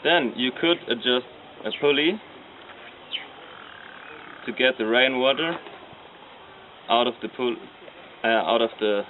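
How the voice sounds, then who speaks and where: Slow-talking German man explaining. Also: mid-range voice. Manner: plain explanatory, halting with fillers. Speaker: design team member. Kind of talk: demonstrating a model. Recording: tinny lo-fi with faint noise.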